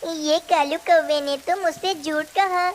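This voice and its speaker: A little female cartoon bird voiced by a woman talks in a high, earnest voice. She is trusting, plaintive and quick to get upset. A faint hiss runs underneath. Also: steady tone. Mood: indignant. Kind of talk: Hindi children's cartoon dialogue.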